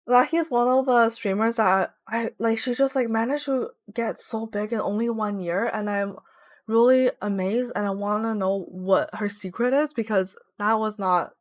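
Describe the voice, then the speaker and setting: A young woman on a home live stream talks in a loose, rambling way, full of "like" and restarts. Her voice sits a touch high, and the sound is close and dry with no room echo. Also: normal speed. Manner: chatty and rambling, with many "like" fillers. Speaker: Twitch streamer. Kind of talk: casual live-stream chat while gaming.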